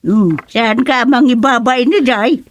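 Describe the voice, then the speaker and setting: A woman's voice: on the high side, unhurried, lightly acted. A woman playing a chatty old village grandmother with an Ilocano accent in a radio comedy drama. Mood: chatty, urging.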